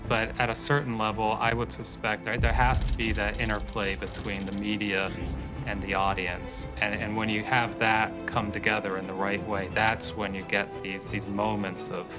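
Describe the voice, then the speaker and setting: A man with a low voice gives expert commentary in an interview, halting and deliberate. Music is clearly heard behind him. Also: little pitch movement.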